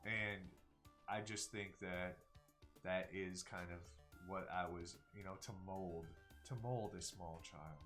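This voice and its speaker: A lowish-voiced man in his forties talks openly on a live stream, musing and circling back on his thoughts. Soft music plays faintly underneath. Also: fairly even intonation, slow. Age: 40s. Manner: candid, reflective, trailing off and restarting. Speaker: stream host. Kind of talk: casual heartfelt chat.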